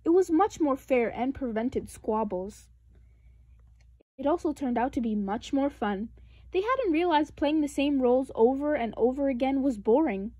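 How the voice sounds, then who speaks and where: A woman narrates a children's picture book aloud. Her voice is fairly high and expressive, with deliberate emphasis, recorded dry and close. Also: dry recording. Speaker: storybook reader.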